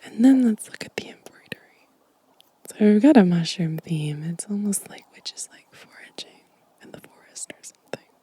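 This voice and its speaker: A woman doing a gentle, casual ASMR show and tell, shifting between breathy whispering and soft-spoken talk close to the microphone. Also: medium pitch, expressive, moderate pace. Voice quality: breathy whisper mixed with soft voiced speech. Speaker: ASMR video host. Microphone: close microphone, dry sound.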